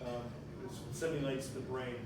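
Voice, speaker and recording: A man's voice: mid-range voice, level tone, moderate pace. Chatty guy presenting, rambles and restarts a lot, far off in an echoey room. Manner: rambling, conversational, lots of restarts. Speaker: guest speaker. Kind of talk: presentation to a small audience. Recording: echoey room, distant mic, noise.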